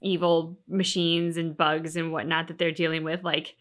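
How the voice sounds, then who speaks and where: A woman's voice: low-pitched, level tone, moderate pace, fairly bright tone. A woman in her thirties chatting casually and thoughtfully as co-host of a reaction show. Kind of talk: casual, analytical chat. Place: small furnished room, on a couch.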